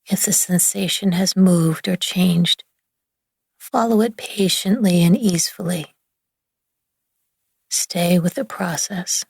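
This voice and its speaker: A woman leading a guided relaxation meditation in a gentle, soothing, hushed manner with long pauses between phrases. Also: fairly low pitch, gravelly, slightly rough, no echo. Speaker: yoga nidra meditation guide.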